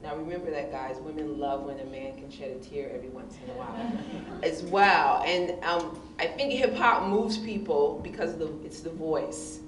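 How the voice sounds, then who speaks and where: A woman with a big voice answering on a discussion panel, expressive and emphatic, often laughing. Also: low voice, normal speed, crowd noise. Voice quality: big, loud voice. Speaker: panelist, hip hop poet. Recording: distant mic in echoey room with noise.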